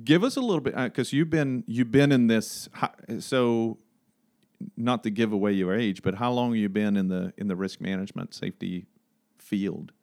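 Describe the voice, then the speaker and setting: A man's voice: on the low side, moderate pace, darkish tone, no echo, clean studio sound. A man from Sweden with a Swedish accent, a native Swedish speaker, asking questions casually as an interview host.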